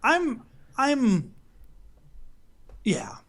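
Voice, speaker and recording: A man's voice: extremely high pitch, huge pitch swings, drawn-out words, vocal fry. A man co-hosting a podcast with casual, jokey banter and folksy mild exclamations. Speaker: podcast co-host.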